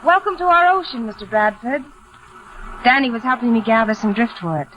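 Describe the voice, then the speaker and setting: An actress playing a shy, kindly young housemaid, earnest and gentle, in a 1940s radio drama. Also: female voice, on the high side, lively intonation, fairly quick. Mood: playful. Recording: muffled, faintly noisy old radio recording.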